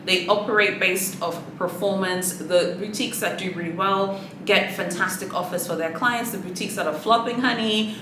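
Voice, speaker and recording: A woman's voice: mid-range voice, moderate pace. A woman whose first language is Swahili, casually chatting to her viewers in a sassy, rambling manner with many asides. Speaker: luxury handbag vlogger. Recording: echoey room with background noise.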